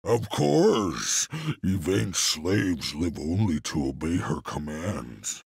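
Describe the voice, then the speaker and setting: A man's voice: low voice, very animated, takes it slowly, vocal fry, breathy edge, no echo, clean studio sound, full character voice. A man voicing a cruel, bullying taskmaster in a video game, sneering and domineering as he gives orders. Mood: servile, cold. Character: cruel, bullying serpent-clan slave taskmaster. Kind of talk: theatrical video game villain acting.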